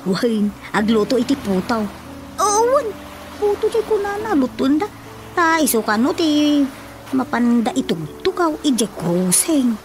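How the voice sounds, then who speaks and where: A woman's voice: high-pitched, very animated, a little slow. A Filipino woman with an Ilocano accent playing a polite, chirpy young village daughter in a radio drama comedy. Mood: polite, chatty. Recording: music behind the voice.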